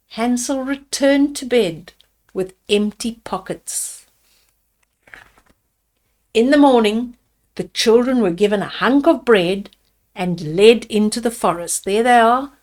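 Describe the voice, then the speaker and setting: An older woman reading a bedtime fairy tale aloud to young children, deliberately, with explanatory asides about the pictures. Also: fairly high pitch.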